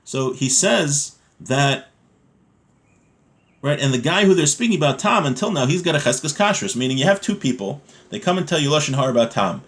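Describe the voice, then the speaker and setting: A man's voice: a little high, big pitch swings, moderate pace, fairly bright tone. A man giving an informal religious lesson, reading from a text and explaining it in plain words, often asking questions and answering them himself.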